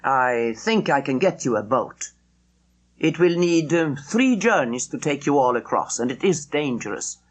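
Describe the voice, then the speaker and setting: A woman's voice: low voice, expressive, measured pace. A young male army officer from an audiobook story, played by a woman narrator. He speaks with urgent authority and grave courtesy, challenging and direct.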